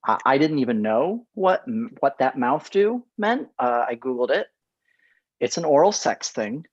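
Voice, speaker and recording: A man playing an uneasy, blunt forty-year-old TV producer in a comedy script read, a little halting. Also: mid-range voice, very animated, husky, muffled recording. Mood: flustered.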